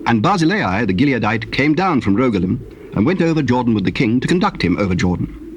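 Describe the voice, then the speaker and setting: A man narrating dramatized scripture in a solemn, measured and formal way. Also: mid-range voice, sing-song. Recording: noisy old cassette tape.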